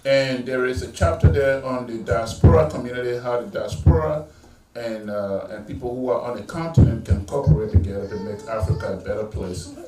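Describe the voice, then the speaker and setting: A man's voice: mid-range voice, fairly quick, dark tone, soft background music. A middle-aged man, a pastor giving a conversational speech at a graduation ceremony, with many fillers and restarts. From Liberia. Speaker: guest speaker, pastor. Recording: echoey hall from far off, faint noise.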